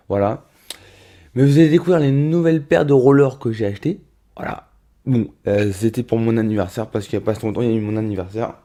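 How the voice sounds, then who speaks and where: A man's voice: medium pitch, mellow. A man of thirty chatting casually through an unboxing vlog in his home studio, full of fillers and restarts. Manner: chatty, many fillers and restarts, addresses viewers as little rabbits. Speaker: roller skating vlogger.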